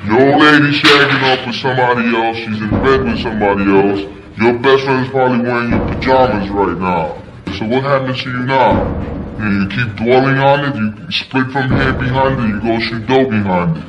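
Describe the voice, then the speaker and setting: A man's voice: mid-range voice, moderate pace, echoey room, dull audio. A man leading a confrontational group therapy session, pressing another man with blunt, profane questions about his feelings.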